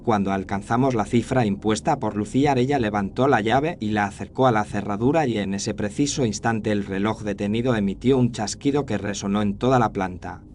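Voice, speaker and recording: A man's voice: on the low side, fairly quick, hardly any pauses, darkish tone. A man reading a scripted first-person horror story as its narrator. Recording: faint noise and ambience behind narration.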